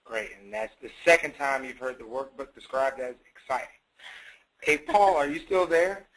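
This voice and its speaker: A man's voice: mid-range voice, normal speed, a bit bright, poor audio. A man moderating a webinar, casually relaying questions to panelists.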